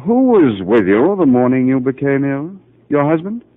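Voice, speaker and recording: An actor playing a calm, patient, authoritative psychiatrist who questions with gentle insistence, in 1950 radio drama style. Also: male voice, mid-range voice, normal speed. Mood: gently insistent. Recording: muffled narrow-band 1950 radio broadcast.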